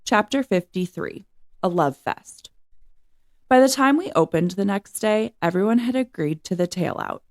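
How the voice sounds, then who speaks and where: A woman's voice: medium pitch, expressive, moderate pace, darkish tone. A woman reading a children's story aloud as narrator. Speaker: reader of a children's book.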